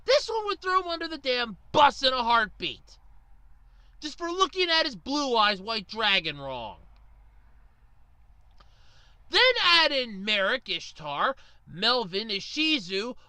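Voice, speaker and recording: A woman's voice: high-pitched, big pitch swings, unhurried, sharp tone, dry recording, rough recording. A woman delivering an opinionated rant to viewers in an exasperated, sarcastic and emphatic manner. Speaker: video ranter.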